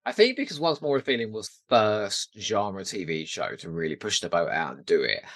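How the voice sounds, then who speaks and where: Animated British man chatting on a podcast. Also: on the low side, normal speed, no echo, studio quality. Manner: animated, giggly, gushing enthusiasm. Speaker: podcast co-host. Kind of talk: casual pop-culture chat.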